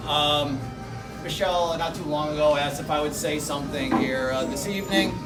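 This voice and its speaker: A man with a high voice rambles through a joking dinner toast, leaning on "you know" at every turn. He is heard from far off in an echoey hall. Loud music and a crowd carry on behind him. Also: fairly even intonation, moderate pace. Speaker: best-man-style speaker, bride's older brother. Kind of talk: wedding rehearsal dinner toast. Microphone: handheld microphone.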